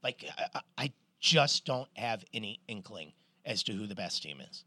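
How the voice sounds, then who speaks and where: A middle-aged man co-hosting a podcast, telling stories in an animated, swearing, casual way. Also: fairly low pitch, moderate pace, no room sound. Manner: animated storyteller, swears, restarts a lot. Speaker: podcast co-host. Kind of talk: casual sports chat.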